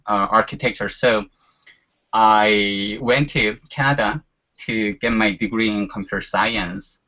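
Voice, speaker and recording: A man's voice: on the low side, pushed and bright. A man in his forties whose first language is Korean, chatting casually as a guest and leaning on fillers like "you know". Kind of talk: casual chat. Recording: dull lo-fi sound.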